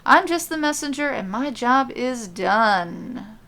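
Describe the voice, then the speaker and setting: A woman's voice: on the high side, expressive, slow, bright, forceful tone, voice pushed up, heavily acted. A woman voicing a frantic, flustered male messenger in an audiobook-style fantasy story. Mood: insistent. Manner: exclamatory, flustered.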